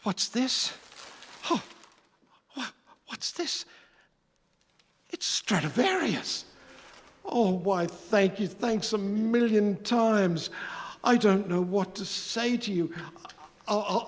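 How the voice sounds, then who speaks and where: A man as a humbled emperor, high-pitched and earnest. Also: animated, exaggerated voice. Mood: astonished, grateful. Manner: halting, polite, theatrical rhyming delivery. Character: humbled former Roman emperor Nero, earnest, wonderstruck, grateful. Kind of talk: radio play acting. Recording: echoey hall with long reverb.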